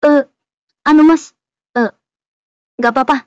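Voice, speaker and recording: A woman's voice: high voice, fairly even intonation, a little slow, a little mellow, dull audio, slight background noise. A woman voicing a meek, apologetic young hotel waitress in a cartoon. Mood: apologetic, flustered. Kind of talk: cartoon dialogue.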